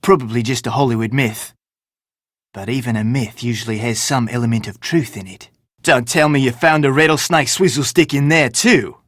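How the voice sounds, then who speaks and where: A man's voice: mid-range voice, moderate pace, a bit bright, no room sound, close to an everyday voice. A man voicing a sarcastic, dramatic, curse-obsessed film crew member in a video game, with theatrical delivery. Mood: sarcastic, defensive.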